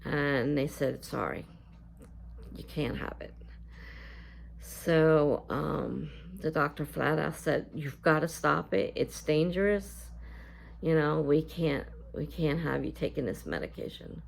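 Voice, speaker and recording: A woman with a scratchy voice talking casually to her viewers in a vlog, halting and full of fillers. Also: low-pitched, expressive, gravelly. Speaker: YouTuber. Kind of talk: casual talk to camera.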